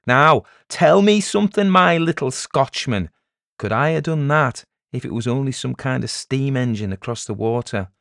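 A man's voice: mid-range voice, animated, moderate pace, creaky, fairly bright tone, no room sound, clean studio sound, pitched above the performer's own voice. A man voicing a big, proud village leader in a storybook tale, commanding, blustering and mocking. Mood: scornful, triumphant. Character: big, domineering village man, gruff, scornful, proud charm-worker. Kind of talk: storybook character voice in a narrated tale.

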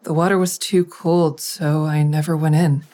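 An actress playing a plain-spoken, wounded grown daughter in naturalistic audio drama dialogue. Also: female voice, deep voice, level tone, normal speed, mellow, lightly acted. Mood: wistful. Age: adult.

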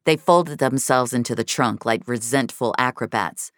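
Very low-pitched woman narrator, dry studio sound. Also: moderate pace, dry recording. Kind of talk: scripted audio fiction narration.

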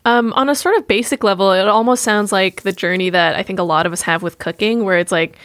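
A woman's voice: mid-range voice, fast, a bit bright, no echo, clean studio sound. A woman hosting an interview, asking questions and encouraging her guest. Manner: encouraging, laughs and backchannels. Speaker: host.

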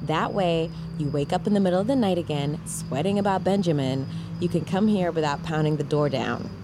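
Aunt and best friend, audio drama: woman acting playful, caring, naturalistic, over clear chirping-insect ambience. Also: sits low, a little fast, background noise, natural voice. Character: warm, teasing aunt and close friend. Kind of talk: casual, naturalistic drama dialogue.

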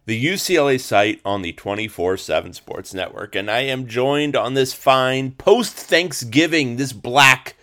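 A man in his thirties hosting a casual sports podcast, slightly hoarse, rambling with lots of fillers and restarts. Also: medium pitch, a little slow, few pauses, bright, forceful tone. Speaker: podcast host and sports reporter.

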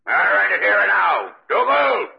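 Wicked old ghost laird, very creaky high-set voice, mocking, imperious, noisy old radio drama. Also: male voice, huge pitch swings, normal speed, heavily acted. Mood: scornful, commanding. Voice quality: gravelly, creaky. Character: wicked dead old laird, gleefully menacing ghost host. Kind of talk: 1940s radio acting. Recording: noisy, muffled 1940s radio recording.

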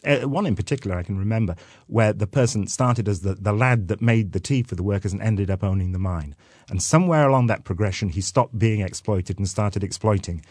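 A man's voice: on the low side, a little fast, darkish tone, dry recording. A man from North Wales with a Welsh accent, explaining things as a guest expert in a radio interview, with dry wit.

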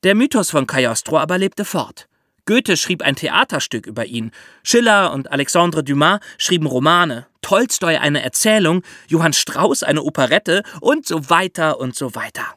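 A man's voice: a little high, expressive, moderate pace, sharp tone, no echo, clean studio sound. A man hosting a podcast, telling history in a conversational storytelling style. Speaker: podcast host.

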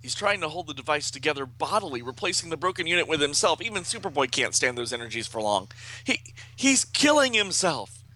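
Man acting out urgent, high-pitched young comic book superhero. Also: very animated, bright, forceful tone, full character voice. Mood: alarmed. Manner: breathless, melodramatic, halting. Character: anguished teenage male superheroes crying out urgently. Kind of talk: dramatic comic book read-aloud.

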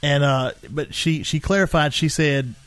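A man with a slightly high voice and a dry, close sound talks casually as a podcast co-host. He banters with friends in a teasing, argumentative manner. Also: measured pace, fairly bright tone, clear, resonant tone, no room sound.

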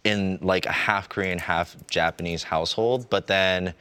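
A man's voice: low-pitched, steady tone, moderate pace, pushed and bright, smooth. A man chatting casually on a podcast, telling a rambling story with lots of fillers. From United States. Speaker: podcast co-host. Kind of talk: casual chat.

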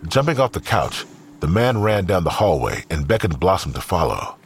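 A man with a very raspy voice reading scripted narration for an audio drama. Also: low-pitched, big pitch swings, normal speed, a little hiss or hum.

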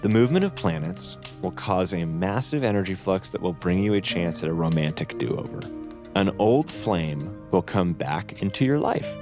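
An actor playing a cocky captive in a psychiatric facility, flippant and rambling, as in an immersive audio drama. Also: male voice, low-pitched, lively intonation, moderate pace. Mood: flippant, chatty. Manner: flippant, rambling, muttering, self-interrupting. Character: cocky, wisecracking male psychiatric captive addressing the listener. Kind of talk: immersive audio drama acting, conversational asides. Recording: music behind the voice.

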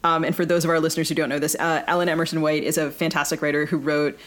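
A woman's voice: low voice, level tone, racing. A woman co-hosting a casual book podcast, speaking analytically in long, wordy thoughts. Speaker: podcast co-host and fiction writer. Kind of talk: casual literary discussion.

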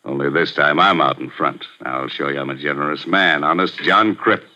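An actor playing a ruthless middle-aged gambling boss, talking tough street slang in a slow, menacing drawl, in 1940s radio acting. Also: male voice, very deep voice, sharp tone, exaggerated voice. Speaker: gambling house owner. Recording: muffled 1940s radio broadcast.

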